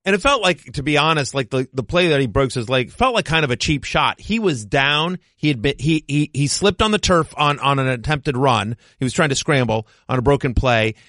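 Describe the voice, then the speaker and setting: A man in his forties hosting a casual sports podcast, joking and animated. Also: medium pitch, brisk, few pauses, dry recording, clean studio sound. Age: 40s. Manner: animated, self-deprecating joker. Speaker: podcast host.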